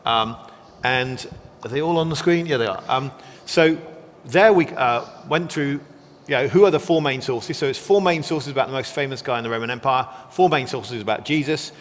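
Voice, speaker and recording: Quick-talking guest scholar in a hall. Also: male voice, mid-range voice. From not from Texas. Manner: quick, explanatory, friendly. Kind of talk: explaining history in an interview. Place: church classroom or hall. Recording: long hall reverb with faint noise.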